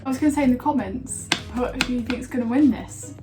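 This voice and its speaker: A young woman vlogger who is losing her voice, addressing viewers directly in a brisk, upbeat way. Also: on the high side, over music.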